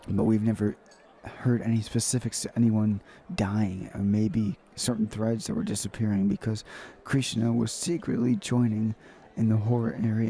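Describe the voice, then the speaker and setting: A man hosting a gaming video, reading a visual novel aloud in a halting way, stumbling and repeating phrases. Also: lowish voice, gravelly, warm tone, slight background noise.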